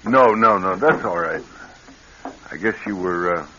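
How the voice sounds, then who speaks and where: A male actor playing a weary, guarded American ex-convict in a radio drama, plain-spoken and slangy with a husky voice. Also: low voice, a little slow, bright, forceful tone, slight background noise. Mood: guarded, casual. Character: weary, guarded ex-convict, casual working-class man. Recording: dull vintage radio recording.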